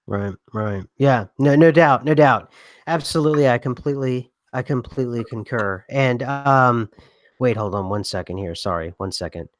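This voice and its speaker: A man's voice: mid-range voice, moderate pace, no room sound, clean studio sound. A man co-hosting a casual podcast, rambling with many restarts, who has a cough. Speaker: podcast co-host. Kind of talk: casual conspiracy podcast chat.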